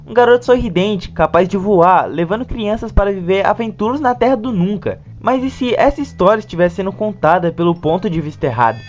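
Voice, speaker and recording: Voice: mid-range voice, lively intonation, brisk, few pauses, a little mellow. A narrator with a Brazilian Portuguese accent delivering a scripted video essay with informal touches. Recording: dull lo-fi sound, music behind. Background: background music.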